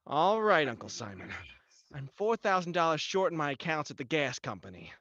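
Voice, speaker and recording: A man playing a desperate, exasperated nephew in an old-time horror radio drama. The voice is bright and close, jumping around in pitch with indignant melodrama. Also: sing-song, fairly quick, vocal fry, no room sound, muffled recording, full character voice. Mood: uneasy. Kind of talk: 1940s horror radio acting.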